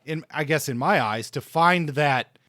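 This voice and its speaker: A man's voice: fairly high pitch, measured pace, sharp tone, no room sound. Man casually discussing books, dry close sound. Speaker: podcast co-host.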